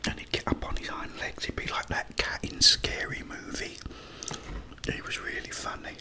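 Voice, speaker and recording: A middle-aged British man telling rambling, unhurried anecdotes as an ASMR host in between bites of food. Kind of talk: whispered ASMR storytelling while eating.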